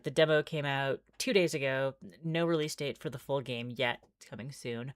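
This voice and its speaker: A woman game streamer chatting casually as she plays, halting and thinking aloud. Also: sits low, no room sound, clean studio sound. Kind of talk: casual livestream chat while playing visual novels.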